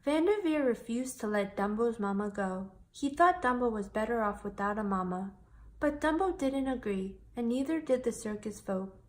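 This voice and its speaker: A woman with a fairly high voice narrates a children's storybook. She reads aloud expressively, in the manner of a storyteller for young listeners. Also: lively intonation, moderate pace. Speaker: storyteller reading a children's picture book aloud.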